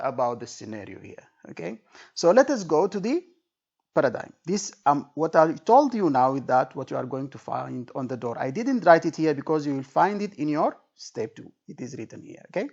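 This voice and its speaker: Guy tutoring for an exam, creaky voice, muffled lo-fi audio, keeps repeating and checking you follow. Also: a little high, sing-song, moderate pace, a little mellow. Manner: didactic, repetitive, checking understanding with frequent okay and right. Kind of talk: informal spoken lecture with role-played example phrases. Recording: dull lo-fi recording.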